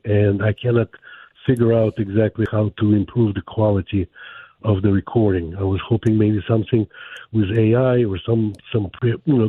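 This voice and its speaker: A man's voice: lowish voice, dry recording. A man with a Ukrainian accent, calling in to a talk show, eager and polite. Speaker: caller. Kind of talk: call-in conversation. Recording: tinny phone line.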